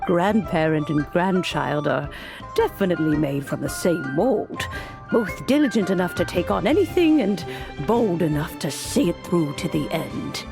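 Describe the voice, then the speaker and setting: An actress voicing an elderly, fond grandmotherly mentor in a game cutscene who talks gently and unhurriedly. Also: lowish voice, animated, vocal fry, over music. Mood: serene fond. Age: older. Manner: gentle, unhurried, softly laughing. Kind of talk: video game cutscene voice acting.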